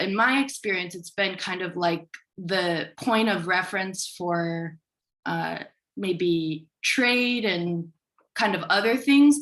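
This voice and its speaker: A woman's voice: medium pitch, fairly bright tone. Casual American woman on a video call. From United States. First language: English. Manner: casual, hedging with fillers like "um" and "like". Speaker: forum participant making a comment.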